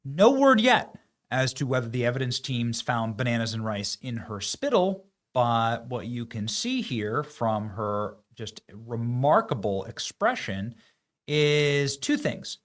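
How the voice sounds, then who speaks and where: A man delivers online political commentary in a sarcastic, mocking tone. His voice sits in an ordinary middle pitch, and he leans hard on the words he wants to ridicule. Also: very animated, slow pace, a bit bright.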